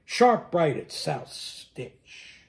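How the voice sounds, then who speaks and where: A man voicing a greedy, brash businessman in a storybook, boastful and quick to brush off objections. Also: a little high, big pitch swings, creaky, darkish tone, heavily acted. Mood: excited, commanding.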